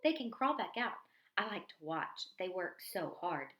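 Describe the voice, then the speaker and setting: A woman's voice: on the low side, sing-song, unhurried, a bit bright. A young storybook boy, defiant and insistent, voiced by a woman reading aloud. He sounds absorbed and sure of himself, and the room echoes around the voice. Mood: defensive.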